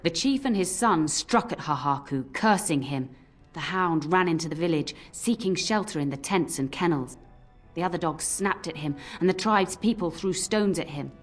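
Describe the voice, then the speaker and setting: A low-voiced old nanny from a fantasy game, speaks over music. She scolds fondly and tells cautionary tales like a seasoned storyteller. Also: animated, moderate pace. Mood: solemn storytelling. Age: older. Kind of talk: scripted game voice acting, storytelling. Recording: music under the voice.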